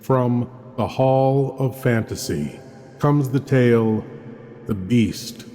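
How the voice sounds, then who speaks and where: A man's voice: medium pitch, warm tone, faint music behind, natural voice. A man playing a sinister horror host, narrating in an ominous, theatrical and melodramatic way. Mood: brooding, ominous. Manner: slow, ominous, melodramatic delivery. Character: Lord Blood-Rah, sinister theatrical male horror host.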